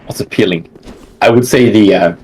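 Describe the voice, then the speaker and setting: A man being interviewed about the games he plays. He talks in a relaxed, rambling way, with lots of fillers. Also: lowish voice, normal speed, a little hiss or hum, poor audio. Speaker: interview guest, gamer. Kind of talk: casual online interview.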